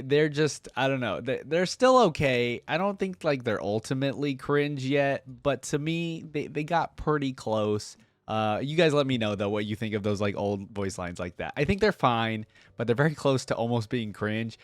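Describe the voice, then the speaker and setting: A man's voice: medium pitch, dry recording. A man hosting a reaction video, giving casual, rambling, musing commentary as a gaming fan.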